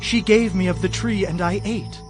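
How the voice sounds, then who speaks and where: An innocent first man, acted by a man, speaks in a high, earnest, dramatic voice. It is a scripture drama with faint music beneath. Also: fairly even intonation, normal speed, natural voice. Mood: fearful, defensive.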